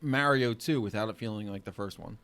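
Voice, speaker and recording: A man's voice: fairly low pitch, a little fast, a bit bright. A man in casual podcast debate, giving emphatic opinions in slangy language. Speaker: podcast panelist.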